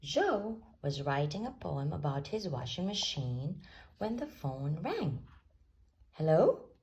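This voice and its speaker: A woman reading a children's storybook aloud as an expressive storyteller. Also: sits low, slow, highs cut off.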